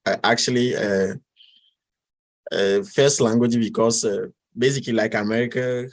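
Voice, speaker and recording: A man in his twenties from Togo with a Togolese French accent, answering interview questions in a halting way, with many fillers and restarts. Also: medium pitch, steady tone. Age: 20s. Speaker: guest.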